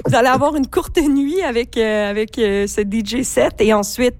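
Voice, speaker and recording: A woman's voice: on the high side, normal speed, a little mellow. Woman radio interviewer asking questions.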